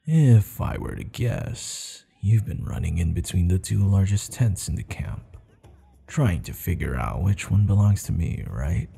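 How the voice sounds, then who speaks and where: A low, heavily gravelled male warchief acted by a man in an ASMR roleplay. He is proud and commanding with a teasing edge, taking his time over formal, measured words, and his pitch moves expressively. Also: dark tone, exaggerated voice. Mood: proud, amused. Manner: slow, measured, stern yet amused, formal phrasing.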